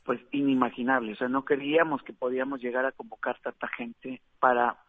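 A man from Mexico with a Mexican accent, a film director giving a relaxed, chatty radio interview with frequent fillers. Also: medium pitch, a little fast, no echo. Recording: tinny dry phone line.

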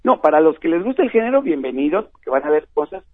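A man with a Mexican accent speaks over a tinny phone line, sitting a touch high in his range. He rambles amiably with fillers and quick laughs. The sound is dry and squeezed. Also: big pitch swings, no echo. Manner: friendly, laughs often, many fillers. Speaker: film festival organizer. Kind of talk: phone interview on radio.

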